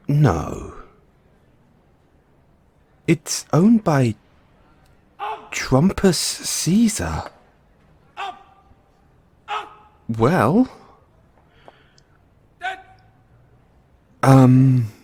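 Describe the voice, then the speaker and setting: A man playing an excitable, affectionate mad scientist boyfriend in an intimate audio roleplay, musing haltingly to himself and his partner. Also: mid-range voice, husky, heavily acted. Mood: astonished, puzzled. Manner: musing, halting, chuckling asides, drawn-out reactions.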